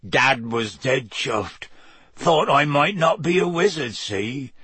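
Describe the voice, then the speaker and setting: A huge, humble gamekeeper with a hoarse, croaky voice, played by a man. He talks slowly in a rustic way, dropping his letters. The sound is close and dry. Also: mid-range voice, lively intonation, bright, forceful tone, no echo, full character voice. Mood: tearful. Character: huge gruff male gamekeeper, tearful and humble.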